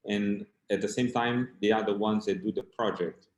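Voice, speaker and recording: A man whose first language is Portuguese gives a keynote talk in English, speaking somewhat low. His delivery is plain and a little halting. His voice carries a noticeable echo from the room around him. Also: fairly even intonation. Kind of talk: conference talk.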